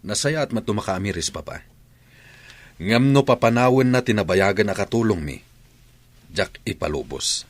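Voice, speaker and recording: A man's voice: fairly low pitch, lively intonation, moderate pace, long pauses, no echo. A man with an Ilocano accent playing a stern, questioning head of the household in an audio drama. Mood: firm. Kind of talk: Ilocano radio drama acting.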